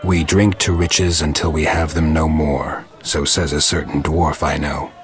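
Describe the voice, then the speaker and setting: Very deep, deadpan monster hunter. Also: male voice, measured pace, loud music behind the voice. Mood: dry, businesslike. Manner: deadpan, laconic. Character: gruff, stoic male monster hunter with dry wit. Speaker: player character of a fantasy game. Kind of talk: fantasy video game dialogue.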